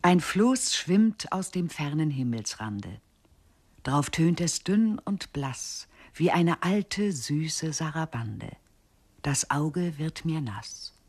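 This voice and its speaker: A performer reciting lyrical verse in a radio play, solemn and deliberate. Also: mid-range voice, slightly rough.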